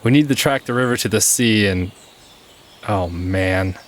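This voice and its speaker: A man's voice: on the low side, unhurried, close to an everyday voice. A man playing a wary, casual thief in a fantasy audio drama, speaking in terse lines. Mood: casual, puzzled.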